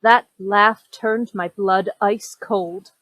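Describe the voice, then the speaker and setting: A woman playing a discreet Victorian gentleman, telling a tale formally and emphatically in a gothic radio play. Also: mid-range voice, fairly even intonation, slow pace, no echo. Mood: uneasy, discreet. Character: indignant, discreet Victorian gentleman recounting a strange encounter.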